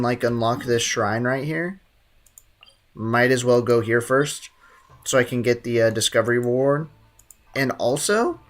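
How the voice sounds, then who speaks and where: A man live-streaming a game, commenting in a joking, playful way with lots of slang. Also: medium pitch.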